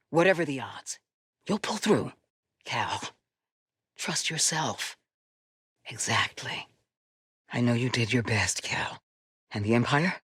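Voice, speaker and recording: Wise, resolute female Jedi mentor for a sci-fi game: low, measured, recorded very dry. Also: a bit bright, breathy edge, dry recording, heavily acted. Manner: measured, deliberate, earnest delivery. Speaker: video game voice actress. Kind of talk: cinematic game dialogue acting.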